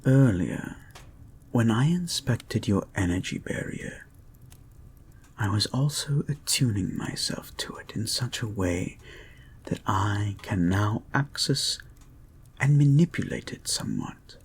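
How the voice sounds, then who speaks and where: Man acting a slow, creepy whispering hypnotist. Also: on the low side, lively intonation, slight background noise. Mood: smug, intrigued. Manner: soft, breathy, deliberate murmur often dropping into whispers. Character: creepy, obsessive male hypnotist therapist, calm and controlling. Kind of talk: slow guided-meditation hypnosis roleplay, audio drama.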